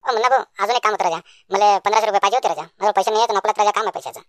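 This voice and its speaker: A woman's voice: medium pitch, racing, dry recording, studio quality, natural voice. A woman voicing a cowardly, cadging young village man in a comedy cartoon, whiny and colloquial, with a Varhadi Marathi accent. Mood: wheedling.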